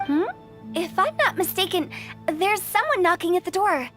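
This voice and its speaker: A cheeky young funeral boss. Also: female voice, very high voice, sing-song, normal speed, music in the background. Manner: cheeky, sing-song. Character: mischievous young woman, funeral parlor boss. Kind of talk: video game voice acting.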